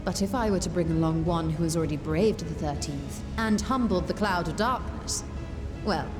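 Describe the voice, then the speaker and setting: A woman playing a scholarly, adventurous sage in a fantasy video game, speaking in a measured, articulate, formal and old-fashioned way. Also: on the low side, over music, natural voice. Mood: confident, wry. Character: scholarly adventurous woman explaining arcane theory, composed and confident.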